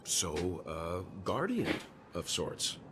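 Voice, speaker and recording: A man playing a reserved, thoughtful traveler in a video game, speaking politely and deliberately with formal manners. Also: deep voice, wildly swooping pitch, background noise, heavily acted. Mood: reserved, inquiring. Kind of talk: dubbed video game story dialogue.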